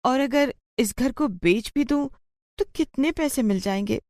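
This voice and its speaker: A woman's voice: high voice, a little slow, a little mellow, no room sound, studio quality, voice pushed up. A woman voicing a poor, troubled village mother in a moral story, pleading and sorrowful. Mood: worried. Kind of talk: acted dialogue in a Hindi moral story.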